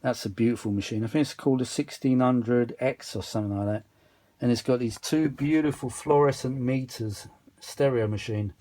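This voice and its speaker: A man's voice: medium pitch, normal speed, dark tone. A man talking casually and off the cuff as an enthusiastic hobbyist presenter, rambling informally while demonstrating a machine. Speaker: vintage audio hobbyist presenter. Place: indoors at a cluttered workbench.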